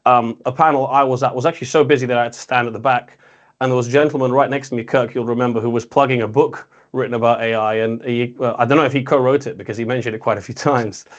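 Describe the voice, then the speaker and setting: A man speaks as co-host of a casual recap discussion. His pitch sits in the middle range for a man. He phrases things loosely, rambling a little and doubling back on words. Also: steady tone, quick pace, dull audio. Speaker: co-host reporting on an industry conference.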